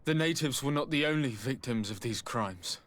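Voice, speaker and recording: An actor playing a principled nobleman in a game, earnest, formal and measured. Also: mid-range voice, expressive, fairly bright tone, natural voice. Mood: grave. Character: grave, principled nobleman reporting atrocities.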